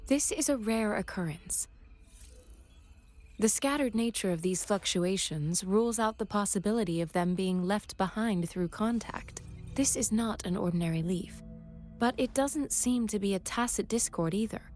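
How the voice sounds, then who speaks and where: A woman's voice: mid-range voice, moderate pace, darkish tone, soft background music, lightly acted. A woman voicing a composed, precise researcher in a video game, speaking formally and methodically. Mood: composed, informative. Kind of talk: video game character dialogue.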